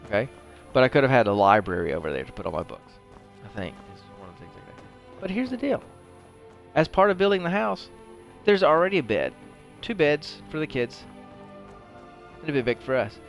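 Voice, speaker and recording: A man giving casual gameplay commentary, rambling and conversational as he thinks aloud. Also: medium pitch. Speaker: host of a video game playthrough show.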